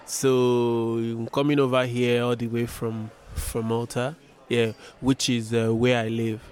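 A young man from Nigeria, a tech support engineer answering interview questions with many pauses and restarts. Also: mid-range voice, flat delivery, a little mellow, a little hiss or hum. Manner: hesitant, many fillers and restarts. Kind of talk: event interview.

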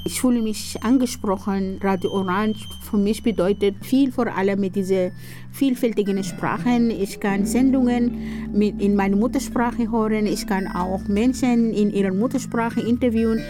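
A woman's voice: mid-range voice, steady tone, moderate pace, over music. A woman giving a sincere, earnest personal statement about her radio work. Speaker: radio volunteer.

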